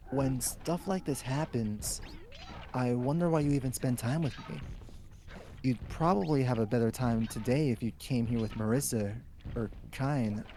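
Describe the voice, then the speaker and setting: A tired, withdrawn young bookish woman acted by a man in a storybook romance. The voice is mellow and weary, halting as it turns on itself, over faint background noise. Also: mid-range voice, natural voice. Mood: downcast. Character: Patchouli, tired, self-critical, withdrawn young woman scholar.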